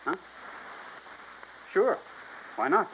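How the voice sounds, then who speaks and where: A man's voice: high voice, very animated, drawn-out words, full character voice. An American actor playing an earnest, pushy young ranchman in a 1950s radio drama, insistent and coaxing. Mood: guarded. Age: young adult. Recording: dull, faintly noisy 1950s radio recording.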